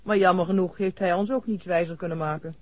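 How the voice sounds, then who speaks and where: An actress playing a self-possessed, inquisitive doctor with a Netherlands Dutch accent in a 1960s radio drama, formally polite. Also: female voice, lowish voice, steady tone, quick pace, darkish tone, a little hiss or hum, close to an everyday voice. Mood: politely inquisitive. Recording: muffled old radio recording.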